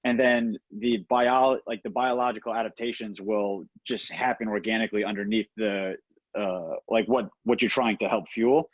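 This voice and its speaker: A man chatting casually on a coaches' call, halting and peppering his talk with "like" and "you know". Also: fairly even intonation, dry recording. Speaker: participant in a coaches' conference call. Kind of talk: casual chat. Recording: dull, dry low-fidelity call audio.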